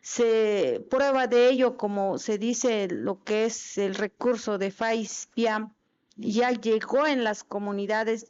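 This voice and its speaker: Halting woman addressing a legislative meeting. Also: a little high, darkish tone, clear, resonant tone. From Chiapas, Mexico. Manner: halting, many fillers and restarts. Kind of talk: formal public address. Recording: dull lo-fi event audio.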